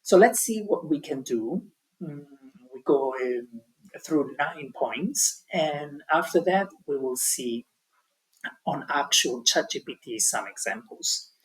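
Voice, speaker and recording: Husky man giving a hesitant tutorial. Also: on the high side, animated, slow pace, rough recording. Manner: hesitant, many ums and restarts. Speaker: language teaching tutor. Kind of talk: explaining an online tutorial.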